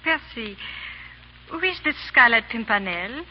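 A woman's voice: fairly high pitch, animated, measured pace, long reverb tail. A woman acting a proud, troubled aristocratic wife in a vintage radio drama. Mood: tense, urgent. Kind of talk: 1930s-40s radio acting. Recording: old muffled radio broadcast with hiss.

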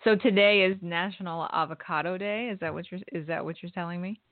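A woman's voice: fairly low pitch, fairly even intonation, brisk, dry recording. A woman co-hosting a podcast in casual chat, teasing her partner with incredulous questions. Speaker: podcast co-host. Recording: tinny phone-like line.

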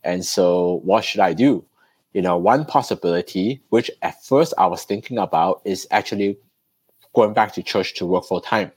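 A man's voice: sits low, moderate pace, husky, no room sound. A man, chatting loosely as a podcast guest. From Hong Kong, raised in Canada. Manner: relaxed, loose, with fillers and restarts. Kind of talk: conversational storytelling.

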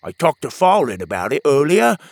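A man voicing an upbeat young companion who teases and reassures, in a high, animated voice. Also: brisk, no room sound. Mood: reassuring. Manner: teasing, reassuring.

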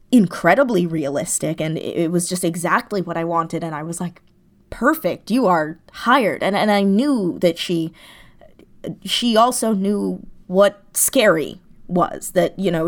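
Effusive woman chatting as an interview guest. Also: mid-range voice. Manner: effusive, emphatic, repeating words for emphasis. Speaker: interview guest, audio drama writer. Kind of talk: enthusiastic casual interview chat.

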